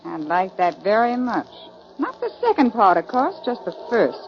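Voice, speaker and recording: A woman's voice: medium pitch, sing-song, normal speed. An actress playing a catty, scheming female rodeo rival, sly and insinuating in 1940s radio style. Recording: dull, muffled 1940s radio recording.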